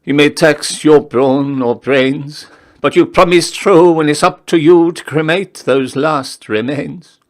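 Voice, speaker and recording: A man reciting a ballad puts on a freezing, dying gold prospector. The voice is raised and pushed louder, moaning and insistent. He pleads slowly, in measured verse. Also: fairly high pitch, voice pushed up.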